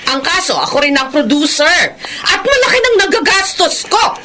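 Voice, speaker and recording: An actress playing an imperious, hot-tempered film director in a radio drama, haughty and scolding with a Filipino accent. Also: female voice, high-pitched, big pitch swings, moderate pace, noisy background. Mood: worried, complaining.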